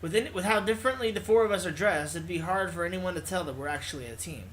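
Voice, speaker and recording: A man's voice: high-pitched, expressive, fast talker, a bit bright, a little hiss or hum. A man reading visual novel text aloud as a let's player, stumbling over words and correcting himself, with casual asides. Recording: music playing behind the voice.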